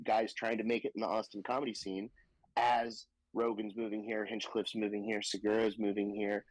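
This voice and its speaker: A man's voice: fairly low pitch, little pitch movement, normal speed, no room sound, poor audio. A young man and stand-up comedian talking casually on a podcast, laughing often and joking crudely. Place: Austin, Texas.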